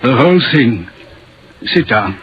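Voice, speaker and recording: Troubled railway signalman, very husky, halting and intense, muffled old radio drama recording. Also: mid-range voice, big pitch swings, full character voice. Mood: troubled, confiding. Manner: halting, haunted, intense storytelling. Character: troubled railway signalman haunted by a warning apparition. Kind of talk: 1950s radio drama acting.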